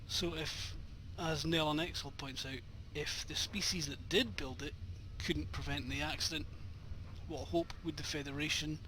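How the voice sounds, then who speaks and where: A man's voice: fairly high pitch, fairly even intonation, moderate pace, sharp tone, slight background noise, low-quality recording. Man musing over game footage. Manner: musing, conversational. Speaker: gameplay commentator. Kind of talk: casual commentary.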